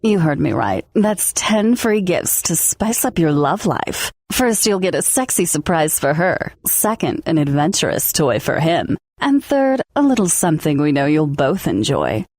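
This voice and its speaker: A woman with a fairly low voice reads a scripted radio ad. Her delivery is an enticing sales pitch, recorded close and dry. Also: big pitch swings, measured pace, few pauses, a little mellow, no room sound. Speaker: advertisement announcer.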